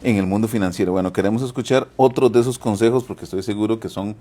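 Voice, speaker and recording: Male talk show host, quick and chatty delivery. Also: fairly low pitch, warm tone.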